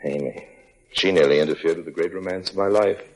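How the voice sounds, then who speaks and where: A man's voice: deep voice, quick pace, natural voice, rough recording. An actor playing a wry adventurer telling his tale in an old radio drama.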